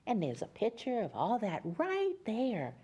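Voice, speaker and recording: A woman storyteller in the role of Mother Goose, reading to children at an unhurried pace. Her voice is soft-edged and mellow, with pitch that moves up and down freely. She breaks from the text for chatty asides that explain pictures and places. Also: huge pitch swings, husky.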